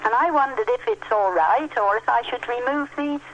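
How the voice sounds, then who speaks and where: A woman's voice: a little high, normal speed, noisy background. A woman phoning a radio show, explaining something in an earnest, hesitant, conversational way. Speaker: phone-in caller. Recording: muffled telephone line on radio.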